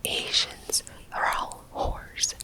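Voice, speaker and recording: A woman's voice: extremely slow, roomy sound. A woman whispering the lines of a rude, insulting male stand-up comedian in her own ASMR voice. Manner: whispered, barely changed from narrator.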